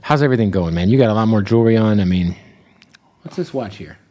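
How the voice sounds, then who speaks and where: A man hosting a podcast, chatting casually with a guest and dropping quick interjections. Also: lowish voice, dark tone, no echo. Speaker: podcast host.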